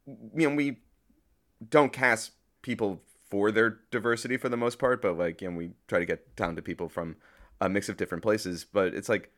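A man's voice: on the low side, fairly even intonation, moderate pace, a bit bright. A man chatting casually as a podcast guest, effusive and rambling, often repeating words as he searches for phrasing. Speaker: podcast guest, audio drama creator. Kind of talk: casual, enthusiastic interview chat.

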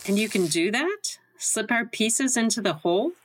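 A woman playing a gentle, sensible and courteous rebel in an audio drama. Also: mid-range voice, animated, moderate pace, slightly rough, dry recording. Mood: practical.

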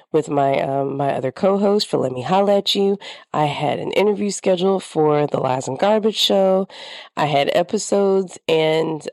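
A woman's voice: fairly low pitch, a little mellow, no echo, studio quality. A woman podcast host with a slightly congested, nasal voice, talking candidly in a reflective personal monologue.

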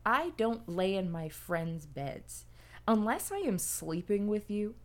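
A woman's voice: mid-range voice, very animated, takes it slowly. A woman in her late twenties co-hosting a podcast, joking about herself with frequent giggles and reading listener letters. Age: late 20s. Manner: giggly, self-mocking, reads listener letters. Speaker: podcast co-host. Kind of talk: casual comedic chat.

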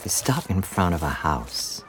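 Deep guy narrating an audio drama, takes it real slow. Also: sing-song, a little hiss or hum, rough recording.

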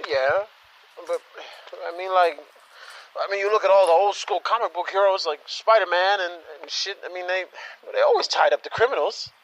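A young man playing an eager, slangy superhero intern in an audio drama, talking in a halting, stammering way. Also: sits high, fairly bright tone, rough recording. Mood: giddy, tipsy.

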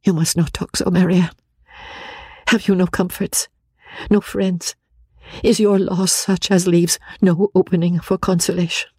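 A woman reading a classic novel aloud, playing a caring, sensible young woman who speaks in an earnest, measured, gently urging way. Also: on the low side, level tone, slightly rough, no echo, studio quality, pitched above the performer's own voice. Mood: earnest consoling.

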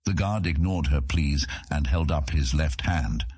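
Very deep, husky male audiobook narrator. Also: unhurried, a little mellow, dry recording, studio quality. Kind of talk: scripted fantasy narration.

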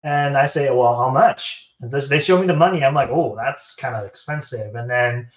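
A man's voice: mid-range voice, moderate pace. A man from Taiwan with a Taiwanese accent, chatting casually as an interview guest and acting out dialogue as he tells stories. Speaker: guest, sponsored competitive shooter. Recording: roomy, echoing sound.

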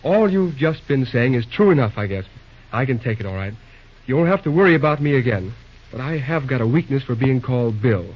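An actor playing a brash, plain-spoken young railroad superintendent in an old-time radio drama, blunt, slangy and prone to stammer. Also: male voice, medium pitch, expressive, a little fast, slightly rough, muffled recording. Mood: firm, earnest.

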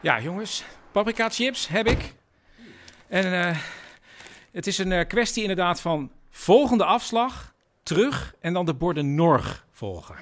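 An actor playing a dry, teasing grown son in a naturalistic radio drama, wryly echoing what others say. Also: male voice, high-pitched, lively intonation, measured pace, fairly bright tone. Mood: casual. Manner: wry, mock-echoing others' words.

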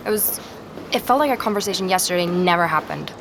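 An actress playing an earnest, rattled young woman investigator in a naturalistic audio drama, speaking haltingly as she records her search. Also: on the low side, lively intonation. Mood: baffled. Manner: hesitant, halting, with repeated words. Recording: street and vehicle noise behind.

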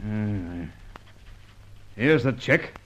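Radio serial: crooked councillor, cornered and grudging, gruff, hesitant, lively pitch swings. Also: male voice, extremely slow, muffled recording, exaggerated voice. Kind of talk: old radio serial acting.

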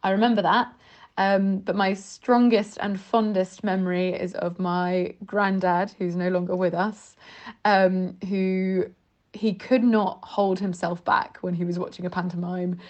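A woman's voice: medium pitch, steady tone, a little slow, a little mellow, muffled recording. A British woman, a guest contributor, sharing recollections in a casual, conversational style.

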